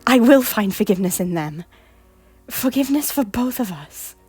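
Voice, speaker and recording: A woman playing a husky, earnest remorseful noblewoman. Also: fairly high pitch, lively intonation, moderate pace, no echo, faint music behind. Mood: defiant. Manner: earnest, pleading, often hushed. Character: remorseful young noblewoman seeking forgiveness. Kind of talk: audio drama acting.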